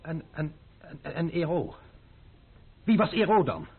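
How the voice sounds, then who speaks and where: Gretige jonge heer, hoge mannenstem, smalbandig. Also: male voice, a little high, big pitch swings, a little slow, breathy edge, full character voice. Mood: astonished. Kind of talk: Dutch radio play acting. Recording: narrow-band, muffled old radio recording.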